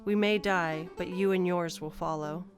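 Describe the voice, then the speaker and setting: A woman's voice: on the low side, fairly even intonation, normal speed, over music, close to an everyday voice. Woman voicing a stern, wizened old male elder. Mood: stern warning. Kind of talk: storybook audio play dialogue.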